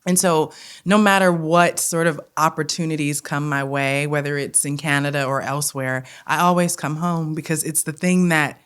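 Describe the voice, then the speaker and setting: A woman's voice: sits low, fairly even intonation, normal speed, clean tone. A woman from Detroit with a Detroit accent, speaking as a guest in a casual interview, earnest and flowing, often checking in with "right?". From Detroit, Michigan. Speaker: guest, theatre company head.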